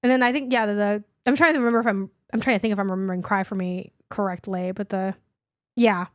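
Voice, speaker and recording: A hoarse young woman co-hosting a podcast, chatting casually and rambling with lots of "like". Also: medium pitch, steady tone, quick pace, dry recording, clean studio sound. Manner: rambling, heavy use of "like". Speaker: podcast co-host. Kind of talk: casual chat.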